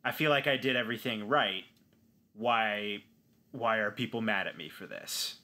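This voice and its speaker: Bright-voiced man talking through a character. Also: lowish voice, normal speed. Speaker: tabletop game player. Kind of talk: casual, improvised character talk.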